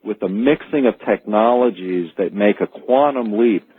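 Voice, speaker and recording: Low husky man on a phone line. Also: moderate pace. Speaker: expert guest, author. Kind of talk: radio call-in interview, explanatory talk. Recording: tinny phone-like radio line.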